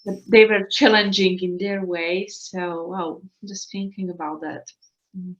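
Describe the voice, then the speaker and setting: Woman from Slovakia, 30s, English, halting interview guest, muffled call audio. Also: medium pitch, steady tone, slight background noise. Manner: hesitant, many restarts and fillers, laughs often. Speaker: guest, expat coach. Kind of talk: casual interview answers. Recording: slightly dull lo-fi call audio.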